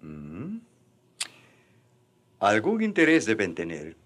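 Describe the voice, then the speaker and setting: An actor playing a suspicious, scheming colonial bishop in a radio drama, deliberate and authoritative. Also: male voice, fairly low pitch, huge pitch swings, a bit bright, heavily acted.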